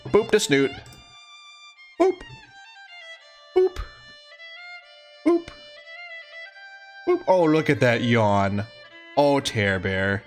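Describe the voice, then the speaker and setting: A man's voice: mid-range voice, big pitch swings, extremely slow, long pauses, soft background music. A man hosting a livestream, chatting casually and affectionately with his viewers. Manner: affectionate, cooing to a pet. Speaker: livestream host.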